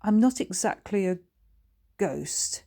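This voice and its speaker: A woman's voice: mid-range voice, lively intonation, takes it slowly, gravelly, dark tone, exaggerated voice. Woman playing a jaunty, genteel ghostly grandfather. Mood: explanatory. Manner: courteous, old-fashioned, jaunty.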